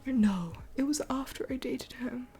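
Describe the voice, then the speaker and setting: A woman's voice: sits high, measured pace, warm tone, a little hiss or hum. A woman in her twenties hosting a late-night live Q&A, rambling with many fillers and often dropping to a whisper. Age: 20s. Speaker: livestream host.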